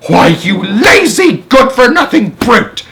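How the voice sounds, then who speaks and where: A man's voice: a little high, normal speed, sharp tone, a little hiss or hum, voice pushed up. A man voicing a screeching cruel aunt. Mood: furious. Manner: screeching and snapping. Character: cruel, sharp-tongued thin aunt, older woman.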